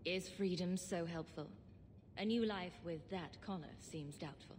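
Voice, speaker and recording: An actress playing a wry, skeptical young female adventurer for hire in a video game, brisk and questioning. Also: on the low side, darkish tone. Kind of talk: video game dialogue acting. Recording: reverberant game audio with faint noise.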